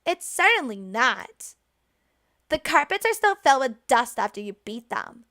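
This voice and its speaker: Woman acting a haughty, mocking older maid, high and theatrical. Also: big pitch swings, bright, forceful tone, exaggerated voice. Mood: scolding. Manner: drawn-out, mocking, theatrical scolding. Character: haughty older female maid, smug cruel bully.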